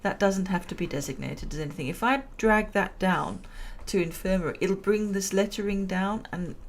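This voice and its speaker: A woman commentating a building game at a relaxed pace, chatty and self-amused, and she is losing her voice. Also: medium pitch, normal speed. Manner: chatty, self-amused, laughs at own jokes. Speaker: gameplay commentator. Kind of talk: relaxed let's-play commentary.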